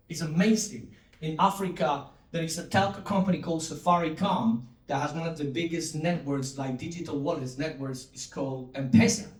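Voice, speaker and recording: Man giving a keynote in an echoey hall. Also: fairly high pitch. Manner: engaging, asks audience to respond, many fillers and restarts. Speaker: conference speaker, company CTO. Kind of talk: conference keynote talk. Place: large conference hall. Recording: echoey hall, distant mic.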